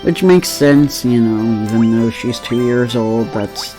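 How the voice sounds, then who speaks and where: Slow nasal man with allergies. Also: medium pitch, warm tone, soft background music. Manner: slow, rambling, laughs easily. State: stuffy from allergies. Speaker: let's play co-host. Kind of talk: casual chat over gameplay.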